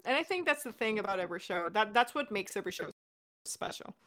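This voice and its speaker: A woman co-hosting a casual question-and-answer chat as a director, explaining things in an animated way. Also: mid-range voice, fast talker. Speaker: podcast director and co-host.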